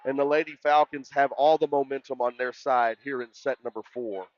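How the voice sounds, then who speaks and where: A man with a loud voice doing live play-by-play volleyball commentary in an excitable, folksy way. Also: mid-range voice, a little fast, no echo, highs cut off. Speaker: sports play-by-play announcer.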